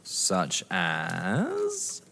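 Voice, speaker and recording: An actor playing an exasperated, wary young British man, indignant and excitable, in stage-style audio drama. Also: deep voice, extremely slow, creaky, full character voice. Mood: hesitant, puzzled. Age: young adult. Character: exasperated, wary young male lover drawn into a scheme. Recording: echoey hall reverb.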